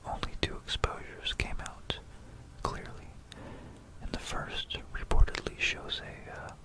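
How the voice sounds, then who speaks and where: An ASMR narrator from Florida with an American accent whispers a musing, explanatory history talk close to a stereo microphone. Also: a little slow. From Florida, United States. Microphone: close stereo binaural microphone.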